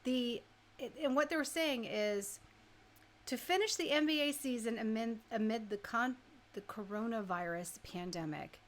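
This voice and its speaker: High-voiced woman radio host, chatting casually. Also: moderate pace. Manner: conversational, informal, thinking out loud. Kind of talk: casual opinionated radio chat.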